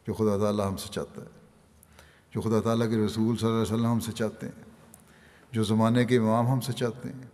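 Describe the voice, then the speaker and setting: Older man giving a solemn, steady sermon. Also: on the low side. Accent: Pakistani Urdu. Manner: measured, steady reading with solemn emphasis. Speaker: imam delivering Friday sermon. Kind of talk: sermon read from notes. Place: large mosque hall. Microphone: podium microphones. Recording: long reverb of a large hall.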